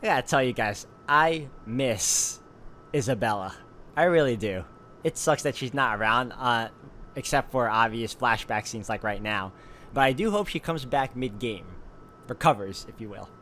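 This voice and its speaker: A man's voice: mid-range voice, expressive, normal speed, pushed and bright. Man narrating a game over faint noise. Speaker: let's play host reading a visual novel aloud. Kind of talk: narration read aloud with casual asides.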